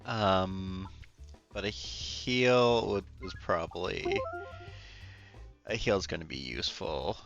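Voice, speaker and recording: Hoarse man with a lost voice, slow-paced. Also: low voice, fairly bright tone, lo-fi sound, soft background music. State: hoarse, voice nearly gone from yelling. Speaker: game streamer. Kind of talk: casual livestream chat.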